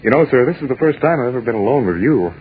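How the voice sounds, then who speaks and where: Male actor as breezy young bachelor; fast, polite radio-play delivery on a thin vintage recording. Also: medium pitch, animated, background noise, lightly acted. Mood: easygoing. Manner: brisk, breezy, courteous. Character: affable young bachelor, politely hosting an older man. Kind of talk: 1940s radio drama acting. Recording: tinny 1940s radio recording.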